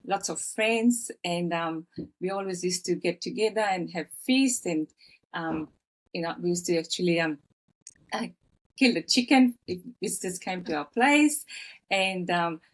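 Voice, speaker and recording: A woman's voice: fairly low pitch, unhurried, low-quality recording. Hesitant woman in her fifties from Fiji. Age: 50s. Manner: hesitant, many fillers and restarts, earnest. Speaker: guest, author. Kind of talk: personal storytelling in interview.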